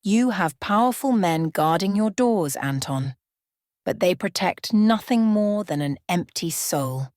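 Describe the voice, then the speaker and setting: A serene young vampire woman speaks softly and calmly, with a quiet edge beneath, as a woman reader puts her on. Her voice sits low and is heard close and dry. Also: unhurried, no room sound. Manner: soft, calm, almost playful. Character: serene young female vampire, calm and unsettling.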